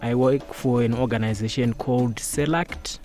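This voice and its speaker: A man's voice: medium pitch, fairly even intonation, normal speed, mellow, faint music behind. A man from Zimbabwe introducing himself plainly, without hurry.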